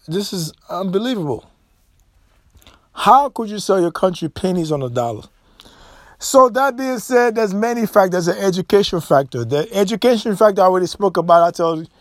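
Man of Haitian origin, high voice, emphatic monologue with repetitions and restarts. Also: darkish tone, no room sound, clean studio sound. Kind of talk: impassioned solo commentary.

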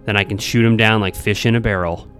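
A man's voice: lowish voice, fairly even intonation, fast, fairly bright tone, close to an everyday voice. A cocky policeman, voiced by a man storyteller. He swaggers through corny tough-guy lines in a story, with faint music underneath. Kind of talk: storytelling character voice.

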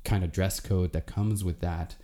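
A man interviewing, framing a long question in a halting way with repeated words. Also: sits low, fairly even intonation, dark tone.

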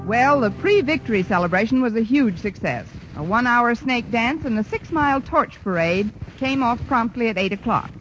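An actress playing a dry, sarcastic English teacher who also narrates, in a 1940s radio comedy on a lo-fi broadcast. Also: female voice, fairly high pitch, lively intonation, moderate pace, hardly any pauses, fairly bright tone, faint music behind. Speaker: narrator and lead. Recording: lo-fi old radio broadcast with faint hiss.

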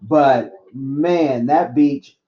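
A man from East Oakland interviewing a guest in a casual, halting way with many fillers and repeated words. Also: medium pitch, dark tone. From East Oakland, California. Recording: dull lo-fi recording.

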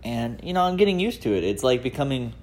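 A man's voice: mid-range voice, big pitch swings, fast talker, slight background noise. Chatty American man, casual podcast diary. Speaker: podcast host. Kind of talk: casual personal audio diary. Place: indoors at home, room with laminate floor.

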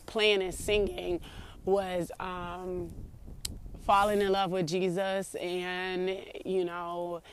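A woman with a deep voice, reminiscing casually to her viewers in a heartfelt, rambling way. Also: little pitch movement, drawn-out words, smooth. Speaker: vlogger.

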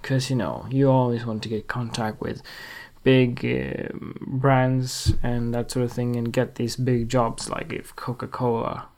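Young freelance photographer, vlogging to camera, rambles and restarts, mid-pitched voice. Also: little pitch movement, unhurried, darkish tone. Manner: rambling, chatty, many fillers and restarts. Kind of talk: casual vlog talk to camera.

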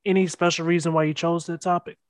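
A man's voice: a little high, flat delivery, quick pace, no room sound, studio quality. A man hosting a podcast, interviewing a comedian guest with many ums and uhs. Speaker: podcast host.

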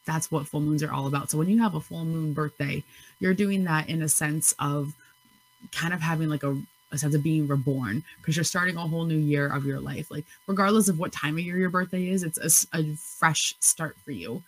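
Woman, 30s, solo podcast host; low husky voice, chatty and giggly, loose and unscripted. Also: fairly even intonation, brisk, rough recording. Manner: chatty, giggly, self-correcting.

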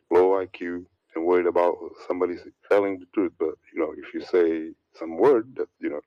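Deep-voiced man on a preachy rant. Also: no room sound, lo-fi sound. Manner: preachy, rambling, repeats words, mocks others in a put-on high voice. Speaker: religious commentator. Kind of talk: heated monologue.

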